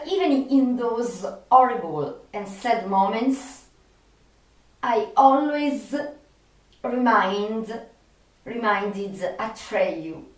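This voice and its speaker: Slow, halting woman in an echoey room. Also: medium pitch. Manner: halting, with long searching pauses. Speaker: video creator and film fan. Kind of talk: unscripted personal monologue to viewers. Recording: echoey room, distant microphone.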